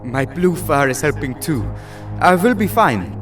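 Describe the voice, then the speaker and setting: A man's voice: on the high side, big pitch swings, a little slow, far from the mic, over music. A man playing a mild blue-flamed mutant superhero in an audio drama. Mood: mild concern.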